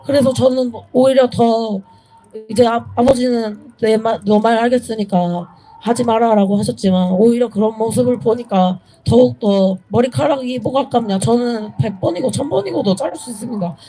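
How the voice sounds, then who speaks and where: Mellow-voiced young woman pleading at a rally. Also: a little high, low-quality recording. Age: young adult. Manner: earnest, pleading, halting with self-corrections. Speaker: protester giving a speech. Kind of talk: impromptu political rally speech. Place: outdoors at a street protest.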